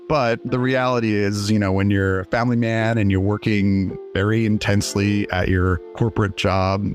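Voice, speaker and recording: Guest: forties man, low voice, rambly and self-mocking, faint music under. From USA. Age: 40s. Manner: rambling, self-deprecating, laughs often. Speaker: indie game developer guest. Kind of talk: casual interview.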